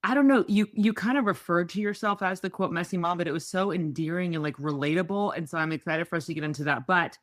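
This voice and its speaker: A woman's voice: lowish voice, fast talker, hardly any pauses, no room sound, studio quality. A woman co-hosting a podcast, talking in an upbeat, rambling way and correcting herself mid-sentence. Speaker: podcast co-host. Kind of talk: casual podcast chat. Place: remote home studio.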